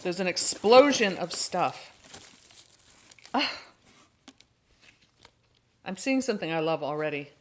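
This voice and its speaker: A woman vlogger chatting casually to her viewers in an expressive, exclamatory way, thinking aloud as she goes. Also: lowish voice, slow, pauses often, a little hiss or hum. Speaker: host of a horror book channel. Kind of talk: casual chatty unboxing vlog.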